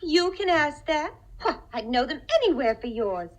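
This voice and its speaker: An actress playing a sweet, naive young secretary with an effusive, folksy manner, in 1950s radio style. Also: female voice, sits high, animated, moderate pace. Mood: admiring, delighted. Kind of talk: 1950s radio comedy-drama acting.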